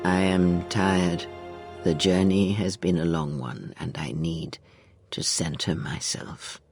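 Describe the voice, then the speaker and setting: An older woman playing a weary, cryptic and manipulative mentor in a video game, speaking in slow, solemn measured phrases. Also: a bass voice, fairly even intonation, vocal fry, darkish tone, faint music behind, heavily acted. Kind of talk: video game dramatic acting.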